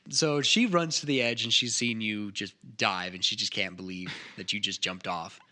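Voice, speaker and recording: A man's voice: on the low side, normal speed, pushed and bright. A man casually narrating a tabletop game as game master among friends.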